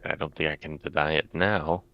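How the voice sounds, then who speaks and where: A man's voice: rumbling low, steady tone, brisk. A man talking casually in role as a player in a tabletop game. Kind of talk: casual role-play talk. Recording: dull, lo-fi mic.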